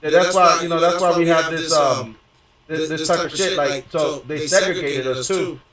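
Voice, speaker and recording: A man's voice: fairly high pitch, moderate pace, a bit bright. Rambling, profane young man in echoey room. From Houston, Texas. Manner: profane, rambling, many fillers and restarts. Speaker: gaming streamer. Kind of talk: casual rambling storytelling. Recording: very echoey room, distant from mic.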